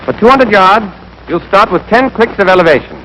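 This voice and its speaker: A man's voice: high voice, expressive, fairly quick, sharp tone. A young American man giving scripted, clipped, step-by-step marksmanship instruction as an army instructor in a 1940s training film. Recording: noisy 1940s film soundtrack.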